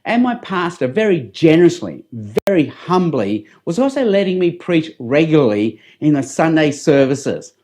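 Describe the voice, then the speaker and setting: A middle-aged man with an Australian accent giving a personal testimony as a public speaker, in a deliberate, earnest way. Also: fairly high pitch, sing-song, darkish tone. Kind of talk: testimony addressed to camera.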